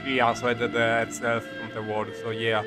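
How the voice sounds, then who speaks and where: A man giving casual, halting, sweary reaction commentary as he plays a video game, sounding exasperated. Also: mid-range voice, monotone. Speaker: game streamer. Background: game music.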